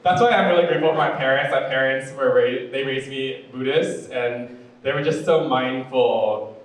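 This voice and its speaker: A male stand-up comedian with a deep voice and a sweet, fruity cadence, telling jokes in a campy, chatty way full of "like" and "you know". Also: moderate pace, recorded from a distance. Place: comedy club stage. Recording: very echoey room, voice off-mic.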